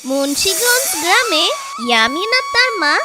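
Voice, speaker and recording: A children's story narrator with a very high, childlike voice. The scripted telling is lively in pitch and runs over music. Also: normal speed.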